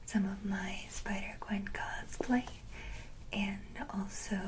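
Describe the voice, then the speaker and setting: A woman hosting an ASMR video, speaking casually in a breathy, gentle whisper, in short halting phrases. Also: mid-range voice, flat delivery, noisy background. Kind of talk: soft-whispered ASMR, casual show-and-tell.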